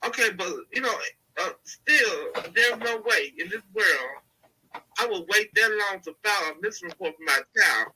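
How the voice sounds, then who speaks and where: Soft-spoken congested woman caller. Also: medium pitch, expressive, moderate pace, slightly rough, poor audio. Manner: soft-spoken, hesitant, many fillers. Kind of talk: casual phone-in chat.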